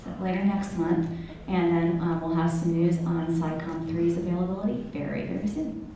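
A woman's voice: on the low side, fairly even intonation, normal speed, mellow. A young woman speaking on a convention panel at a table mic, upbeat and full of ums as she rallies the crowd. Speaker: panelist from a retailer. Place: large convention hall. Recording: echoey hall, taped from far off.

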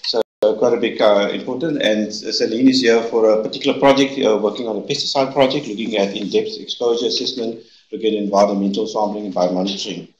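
A man chairing a seminar, introducing the guest speaker in a halting way with many pauses. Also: on the low side, fairly even intonation, dull audio. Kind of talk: hesitant formal introduction. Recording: echoey room with faint noise.

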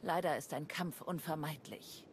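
A grave, independent-minded female adviser acted by a woman in a video game. She speaks in a measured, fairly low voice over a faint rumble. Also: close to an everyday voice. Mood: grave, composed. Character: composed, serious woman adviser, loyal yet independent. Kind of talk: video game cutscene acting.

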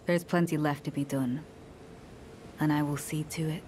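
A low-pitched woman knight played by an actress speaks with solemn, formal composure. She is a devout zealot from a fantasy video game, coolly ruthless in every measured phrase. Faint ambient noise sits behind her. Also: fairly even intonation, a little mellow, close to an everyday voice. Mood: grave, measured. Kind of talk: scripted fantasy game dialogue.

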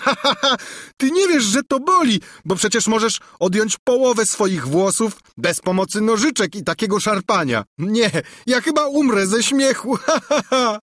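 A man's voice: medium pitch, slightly rough, no echo, studio quality, heavily acted. A man voicing a mocking young prince in a storybook read aloud. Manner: mocking, laughing.

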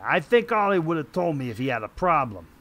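A man's voice: on the high side, big pitch swings, fairly quick, fairly bright tone. A man playing a hard-boiled detective, telling his story in terse, dramatic first-person noir narration. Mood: guarded. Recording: echoey room, music underneath. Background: music.

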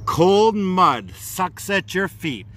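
A man reading a children's storybook aloud as narrator, with deliberate, dramatic phrasing. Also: high-pitched, sing-song, fairly bright tone, slight background noise. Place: outdoors on a park trail.

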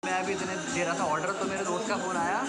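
A man's voice: high voice, flat delivery, fast talker, over loud music. A young man chatting casually to viewers in a vlog, upbeat and talking straight to them, filmed on a phone held at arm's length. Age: young adult. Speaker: vlogger. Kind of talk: casual vlog chat to camera. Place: home room, indoors.

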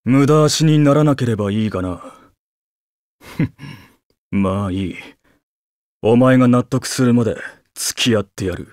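A man performs a young demon warrior from an anime game. He is gruff and arrogant, with curt, scornful phrasing. The voice sits at a middle pitch in a very dry recording. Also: unhurried, long pauses, darkish tone, no room sound, lightly acted. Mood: grudging.

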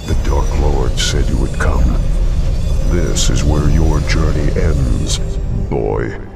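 Very deep, gravelly undead villain. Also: male voice, heavily acted. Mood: gloating menace. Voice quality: gravelly, cavernous. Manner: slow, grandiose, menacing pronouncements. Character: demonic undead servant of a dark lord, menacing, gloating. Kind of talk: fantasy video game cutscene acting. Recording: game music behind the voice.

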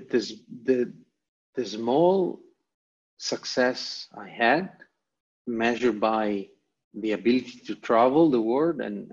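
A man's voice: mid-range voice, gravelly, slightly rough. An Italian man with an Italian accent presenting a motivational online lecture, speaking in emphatic, repeated short phrases over a video call. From Italy. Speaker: guest presenter. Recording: dull, lo-fi video call audio.

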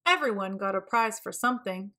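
A woman narrating a children's storybook in an expressive, deliberate way. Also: mid-range voice. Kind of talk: read-aloud storybook narration.

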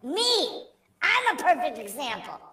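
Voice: very animated, measured pace, pushed and bright, distant mic, slight background noise. A comic improvising mock stand-up and riffing with hecklers in an online game, theatrical and sing-song, through a voice changer. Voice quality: altered high-pitched voice. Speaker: amateur comic and room admin in an online comedy game. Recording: roomy echo, off-mic game voice chat.

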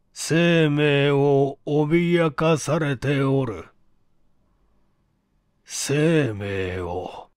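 A man voicing a sickly, suspicious rich old man with a gravelly, slurred voice and a halting, nagging manner. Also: medium pitch, no room sound, clean studio sound. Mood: bitter, fearful. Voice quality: gravelly, slurred, thick-tongued. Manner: halting, labored, clinging.

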